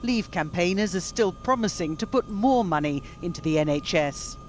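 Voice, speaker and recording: A political correspondent narrating a news report briskly and wryly. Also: male voice, high voice, lively intonation, soft background music.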